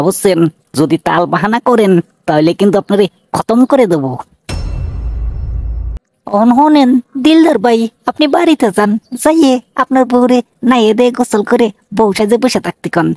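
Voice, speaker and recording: A man's voice: high-pitched, darkish tone, no echo, close to an everyday voice. Brazen slum gangster, acted by a man, taunting and crude. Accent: rural Bangladeshi. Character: brazen bullying male slum gangster, lewd and menacing. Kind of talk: cartoon villain dialogue.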